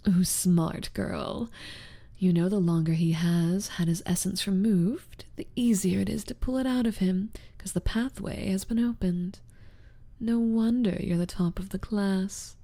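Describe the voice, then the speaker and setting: A young woman playing a sly, teasing rival succubus in an ASMR audio roleplay, with a breathy, drawling, taunting delivery. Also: medium pitch, steady tone, unhurried, dark tone. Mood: smug, coaxing. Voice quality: breathy, half-whispered in places.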